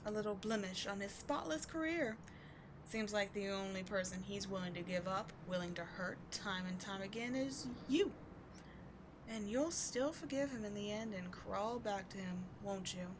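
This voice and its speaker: A woman's voice: medium pitch, expressive, moderate pace, natural voice. A woman playing a bitter, wounded villain who secretly cares, sardonic and exasperated, in a dramatic ASMR audio roleplay. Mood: bitter, contemptuous. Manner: sardonic, exasperated, emotionally intense. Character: bitter, wounded female villain secretly caring for rival sidekick. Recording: clearly audible background noise.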